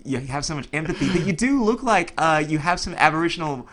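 A man's voice: a little high, expressive, quick pace, fairly bright tone. A man hosting a casual interview, talking informally and circling round his point. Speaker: podcast host, interviewer.

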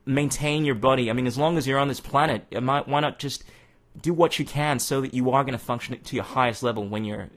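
A man hosting a podcast, talking casually with jokes and lots of agreeing interjections. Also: medium pitch. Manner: fast, joking, affirming interjections. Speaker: podcast host.

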